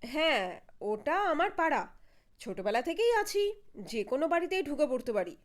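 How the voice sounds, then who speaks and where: Woman voicing an excitable local man, high and animated. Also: normal speed. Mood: earnest, suspenseful. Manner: eager, vivid, dramatic storytelling. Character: excitable local man recounting a night stakeout to detectives. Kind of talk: audio story dialogue.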